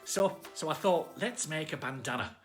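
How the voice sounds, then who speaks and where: Woman puppeting a chatty little armadillo, animated swooping delivery. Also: sits low, normal speed, over music. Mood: chatty, cheerful. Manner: chatty, exclaiming greetings, giggly. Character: chatty small armadillo called Archie, cheerful children's storyteller. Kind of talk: livestream chat and bedtime story reading.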